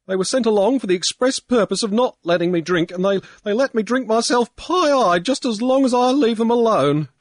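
Man doing a sobbing drunk young gentleman. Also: sits high, lively intonation, normal speed, dry recording, voice pushed up. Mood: blubbering. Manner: blubbering, sobbing and whining. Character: weepy drunken young gentleman, self-pitying.

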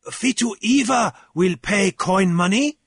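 Story objector voiced by a male narrator: flustered yet stubborn, halting delivery, high-pitched and slow. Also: vocal fry, a bit bright, breathy edge, no echo, studio quality, heavily acted. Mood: halting, dogged. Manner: halting, spluttering, slow and insistent. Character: flustered, halting, dogged man challenging the chancellor.

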